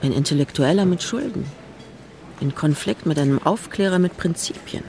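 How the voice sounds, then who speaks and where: An actor playing a cold, haughty royal conspirator in a German radio drama, imperious and disdainful, with clipped questions. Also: male voice, a little high, lively intonation, normal speed, creaky, darkish tone, slight background noise. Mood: cold, scornful. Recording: music under the voice. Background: music.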